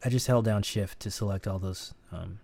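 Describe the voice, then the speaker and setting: Male tutor, low voice, casual think-aloud editing walkthrough, faint hiss and clicks. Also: steady tone, moderate pace, gravelly, darkish tone. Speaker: sound editor teaching. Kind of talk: screen-recorded tutorial.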